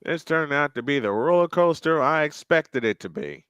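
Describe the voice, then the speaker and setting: Male play-by-play caller, chatty between plays, crisp and dry. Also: a little high, animated, moderate pace, fairly bright tone, no echo. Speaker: play-by-play commentator. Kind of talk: live football commentary.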